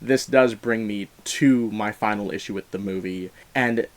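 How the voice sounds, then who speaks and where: A man giving a conversational film review as host, animated and emphatic, with informal, slangy asides. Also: fairly low pitch, unhurried.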